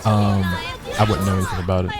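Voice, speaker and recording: A man's voice: low voice, flat delivery, fast, over loud music, over a noisy crowd. A man streaming and chatting casually, laid-back and rambling, full of "like" and repeated words. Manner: laid-back, swears freely. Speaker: gaming streamer.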